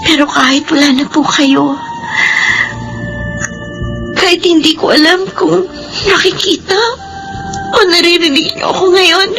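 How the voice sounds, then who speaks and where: A woman playing an earnest young orphaned woman in a radio drama, speaking with deep emotion. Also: steady tone, measured pace, vocal fry, long pauses, full character voice, rough recording. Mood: tearful. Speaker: narrator of her own story. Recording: radio drama with music underneath. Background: soft music.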